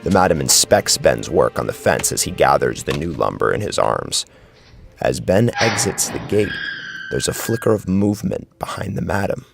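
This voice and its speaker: A man with a gravelly voice narrating a horror story in a dramatic, scripted style. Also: rumbling low, big pitch swings, normal speed. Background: clear music.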